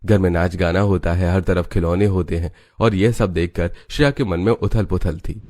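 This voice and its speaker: A man's voice: low-pitched, moderate pace, darkish tone, no room sound, clean studio sound. A man narrating a scripted family story for a cartoon.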